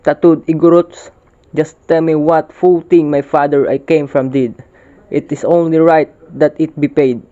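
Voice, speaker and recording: A man's voice: fairly high pitch, steady tone, moderate pace, dark tone, natural voice. A bold young warrior of folk epic, acted by a man for readers' theater. He is proud and formal in his delivery, and the recording is dull and lo-fi. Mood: defiant.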